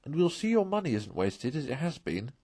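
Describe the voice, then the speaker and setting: A man's voice: fairly high pitch, big pitch swings, brisk, dark tone, husky, dry recording, pitched above the performer's own voice. A grave, earnest storybook mole, performed by a man. He is a dutiful friend who reproves with seriousness, heard in a dry recording.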